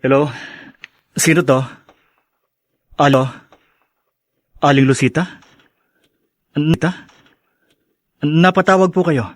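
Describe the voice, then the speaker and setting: A Filipino actor playing a kind-hearted young adult son, polite and respectful, in radio drama style. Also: male voice, on the high side, slow, long pauses, no echo. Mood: polite, eager.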